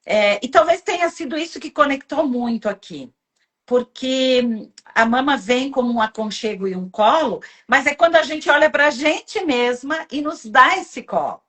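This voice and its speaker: A woman hosting a live stream chats. Her voice is a little high, and she often restarts her sentences and fills in with interjections. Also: animated, normal speed, fairly bright tone. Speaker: host. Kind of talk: casual chat. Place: home kitchen livestream.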